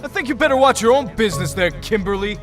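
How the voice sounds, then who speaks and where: A man's voice: sits high, expressive, quick pace, loud music behind the voice. A man playing an earnest, intense young superhero team leader in an audio drama, speaking firmly. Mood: curt.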